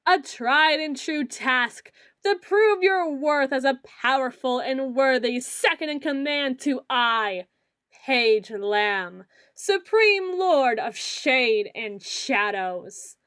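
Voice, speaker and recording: A woman playing a melodramatic self-styled female dark lord in an ASMR roleplay, pompous and theatrical, grandiose yet a little awkward. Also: high voice, lively intonation, slow pace, clear, resonant tone, dry recording, studio quality, heavily acted. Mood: pompous, menacing. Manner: pompous, theatrical, mock-archaic phrasing with awkward hedging.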